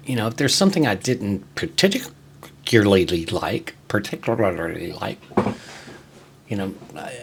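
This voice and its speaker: A man hosting a music show, talking casually and unscripted in a halting, conversational way, repeating words and leaning on key points. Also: lowish voice, a little hiss or hum. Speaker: music show host and reviewer. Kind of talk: casual solo podcast update, unscripted.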